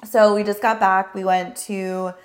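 A woman in her thirties co-hosting a podcast while hoarse from losing her voice, chatting casually and laughing often. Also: mid-range voice, flat delivery, measured pace. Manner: laughs often, rambling and self-correcting. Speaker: podcast co-host. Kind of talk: casual chat.

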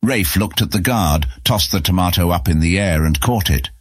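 A man's voice: low-pitched, moderate pace, husky, no room sound, clean studio sound. A man narrating a scripted fantasy story as an audiobook narrator.

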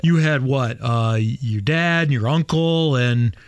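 A man's voice: medium pitch, takes it slowly, clear, resonant tone. Man hosting a motorsport talk show. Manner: informed, reads off guest's career stats. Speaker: show host. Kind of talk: radio sports talk interview. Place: studio.

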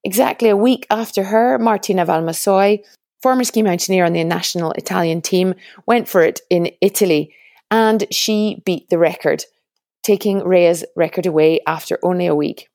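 A woman's voice: fairly low pitch, moderate pace, creaky, darkish tone, no echo, studio quality. A woman hosting a podcast, chatty and upbeat, introducing an episode and then interviewing guests. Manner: upbeat, chatty, laughs often. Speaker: podcast host.

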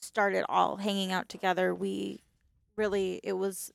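A woman's voice: medium pitch, monotone, unhurried, vocal fry, no echo. A young woman from Wisconsin chatting casually with friends on a podcast, often restarting her phrases as she goes. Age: young adult. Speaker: podcast co-host. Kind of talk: casual chat among friends.

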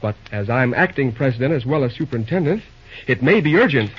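Earnest american railroad boss, husky slightly high voice, muffled old radio show. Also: male voice, animated, fast talker. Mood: intent. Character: earnest railroad superintendent and acting president. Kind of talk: old-time radio acting.

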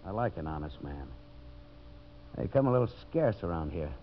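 An actor playing a slick, fast-talking promoter and boss with a commanding salesman's patter, in 1950s radio drama style. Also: sits low, sing-song, over loud music. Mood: brisk, confident. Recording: dull, hissy 1950s radio broadcast recording.

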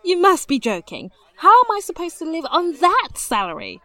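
A woman's voice: very high voice, huge pitch swings, normal speed, pushed and bright, dry recording, exaggerated voice. A woman playing a naive, wealthy young noblewoman, polite and earnest, in a fantasy audio drama. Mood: indignant disbelief. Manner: polite, genteel, exclamatory.

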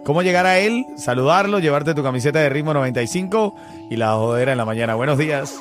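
A man with a Cuban Spanish accent, hosting a morning radio show with quick, upbeat announcer delivery. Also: on the high side, animated. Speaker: radio host. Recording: radio show with music under the voice.

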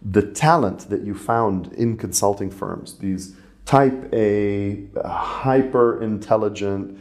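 A middle-aged man with a very low voice talks as an interview guest. He grew up in Saudi Arabia and rambles, filling his answers with fillers and restarts. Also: takes it slowly, darkish tone. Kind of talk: conversational interview.

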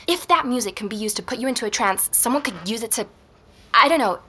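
Anxious young woman, fast-talking, husky, urgent, insistent, naturalistic audio drama dialogue. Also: a little high, expressive, bright, forceful tone, exaggerated voice.

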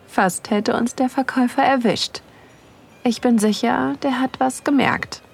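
A woman playing an excited, aroused wife who narrates an erotic audio drama in an intimate, breathy manner. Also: a little high, slow pace, long pauses, heavily acted. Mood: playful, aroused.

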